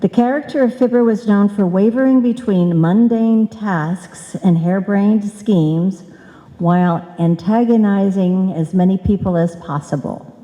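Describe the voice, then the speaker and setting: A woman's voice: mid-range voice, dark tone. A woman host giving a scripted introduction at a live show. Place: stage in a hall, live performance. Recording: echoey hall reverb.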